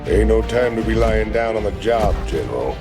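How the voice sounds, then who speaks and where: An actor playing a wry, burly space marine with a gruff Southern American drawl in a video game cinematic. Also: male voice, on the low side, lively intonation, moderate pace, loud music behind the voice. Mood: wry, cocky.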